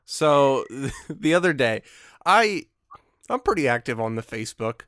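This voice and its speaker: A man's voice: mid-range voice, big pitch swings, unhurried, fairly bright tone, no echo, clean studio sound. A man hosting a podcast, chatty, putting questions to his guests and laughing easily. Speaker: podcast host. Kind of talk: casual chat.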